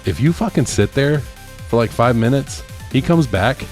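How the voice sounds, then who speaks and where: A man's voice: medium pitch, expressive, normal speed, darkish tone, faint music behind. A man co-hosting a podcast, chatting casually with wry humour and plenty of swearing. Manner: profane, wry. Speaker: podcast co-host. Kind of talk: casual chat.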